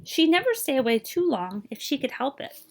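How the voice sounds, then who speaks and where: A woman reading the part of a loving, gently reassuring father in a children's storybook. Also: high-pitched, sing-song, moderate pace. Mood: tender, reassuring.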